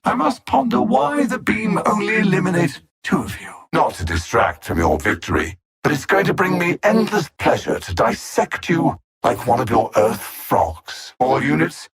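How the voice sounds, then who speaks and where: A man playing a cold, superior alien machine conqueror in a video game, articulate and haughty, savoring his menacing taunts in a gravelly, husky voice. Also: on the high side, a bit bright, heavily acted. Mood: gloating contempt, then imperious command. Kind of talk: theatrical video game villain acting.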